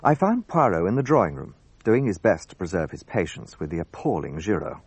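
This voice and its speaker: An actor playing an earnest, gallant gentleman who narrates and acts in a radio drama. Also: deep voice, big pitch swings, fairly quick, husky, dry recording, exaggerated voice. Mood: measured narration.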